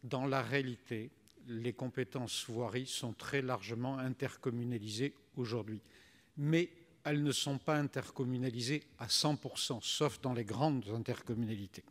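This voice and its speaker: A man's voice: medium pitch, moderate pace. Man making formal speech in echoing chamber. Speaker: senator asking a question. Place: large parliamentary chamber. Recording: echoey reverberant chamber.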